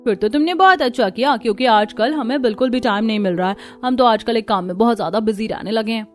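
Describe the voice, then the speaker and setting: A woman's voice: a little high, fast talker, faint music behind, close to an everyday voice. A woman voicing a selfish, evasive housewife neighbour in a cartoon story, emphatic and dismissive. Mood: breezy, busy.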